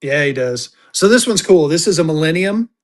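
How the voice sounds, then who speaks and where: A man hosting a live-stream auction of paper money, chatty, jokey and excitable. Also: a little high, moderate pace. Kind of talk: casual live-stream selling chat.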